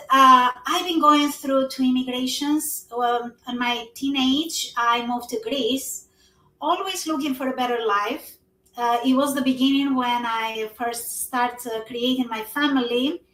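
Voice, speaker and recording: Brief: woman in her 40s, high-pitched, earnest and halting, echoey and off-mic. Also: bright, forceful tone. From Albania. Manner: earnest, non-native English with frequent fillers. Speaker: guest. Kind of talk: casual conversation. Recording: echoey room, somewhat far from the mic.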